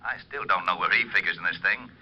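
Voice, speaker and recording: An actor playing a stern, insistent police lieutenant heard through a telephone in a 1940s radio drama. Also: male voice, low voice, quick pace, slightly rough, dry recording. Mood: exasperated, insistent. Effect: small, sharp voice as through a telephone. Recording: thin, dull telephone line on old radio.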